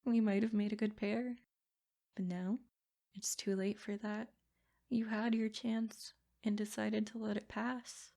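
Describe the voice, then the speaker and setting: A woman's voice: mid-range voice, little pitch movement, measured pace, vocal fry, mellow. A woman voicing a sadistic, possessive princess in an ASMR roleplay, speaking in formal, haughty phrases with silky menace. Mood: haughty, musing. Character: sadistic, possessive yandere princess, smug captor. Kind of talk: ASMR roleplay, scripted character monologue.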